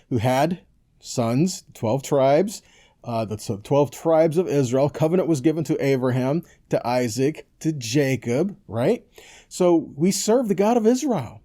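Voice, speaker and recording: A man's voice: mid-range voice, animated, unhurried, mellow. A man preaching in a conversational Bible-teaching style, emphatic and didactic, often putting questions to his listeners and checking that they follow. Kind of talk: conversational sermon, scripture teaching.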